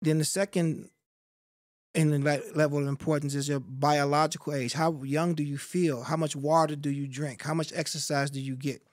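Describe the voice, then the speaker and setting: A middle-aged man talking as a podcast guest in a studio, in a measured, reflective storytelling way. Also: fairly high pitch, flat delivery, no echo. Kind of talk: reflective interview talk. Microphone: studio mic on boom.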